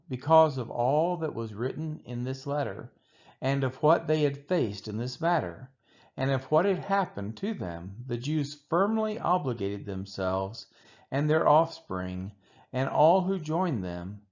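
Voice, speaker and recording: A man reads scripture aloud in a deliberate, phrase-by-phrase way. His pitch moves widely across each sentence while the pace stays on the slow side. The recording is a little dull and lo-fi. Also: a little mellow. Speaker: scripture reader. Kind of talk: measured Bible read-aloud, scripted narration.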